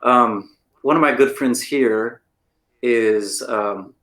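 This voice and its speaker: A man's voice: medium pitch, slow, a bit bright. An American man co-hosting a podcast, talking casually and telling stories with plenty of "like" and "right?". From United States. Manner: chatty, storytelling, lots of "like" and "right?". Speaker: podcast co-host. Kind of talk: casual chat. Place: lives in Taiwan.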